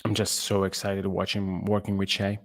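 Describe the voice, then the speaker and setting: A man co-hosting a sports podcast, giving casual basketball analysis in halting phrases with slightly non-native English grammar. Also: sits low, completely flat delivery, no echo, studio quality.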